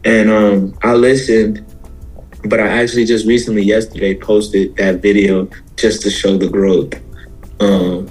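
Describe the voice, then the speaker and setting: An American man from Houston, Texas, giving casual, reflective interview answers as a musician guest, explaining himself in a halting way. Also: on the low side, little pitch movement, darkish tone, slightly rough, soft background music, low-quality recording. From Houston, Texas, USA.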